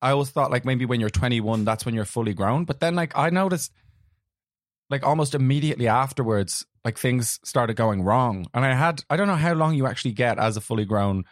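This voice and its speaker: Casting: hoarse gravelly young guy, loose podcast chatter, dry close sound. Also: mid-range voice, animated, fairly quick, no echo. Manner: rambling, laughs easily. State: hoarse from shouting the night before. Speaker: podcast co-host. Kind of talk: casual chat.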